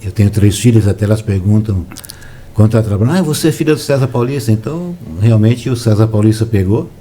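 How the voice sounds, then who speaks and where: Relaxed older man. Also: lowish voice, fast talker. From São Paulo, Brazil. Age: 60s. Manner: relaxed, reminiscing storyteller, soft-spoken. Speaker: interview guest, former footballer. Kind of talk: casual interview.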